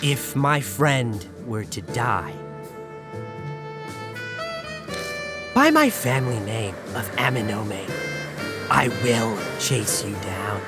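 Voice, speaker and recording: Composed young gang heir with lively pitch played by a man. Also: takes it slowly, over loud music. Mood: menacing. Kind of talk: visual novel fan dub acting.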